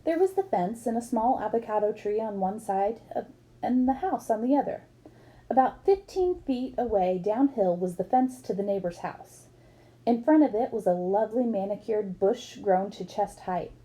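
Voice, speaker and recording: A woman's voice: mid-range voice, expressive, normal speed, dark tone, rough recording. A woman reading a book aloud expressively, as for children, acting up the dialogue. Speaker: book reader.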